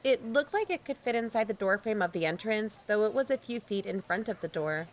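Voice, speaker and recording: Woman acting as undercover investigator telling the story, dry n observant, mid pitch, scripted audio drama narration. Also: lively intonation, fairly quick, slight background noise, lightly acted. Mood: watchful, wry. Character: watchful, wry female investigator narrating her covert visit.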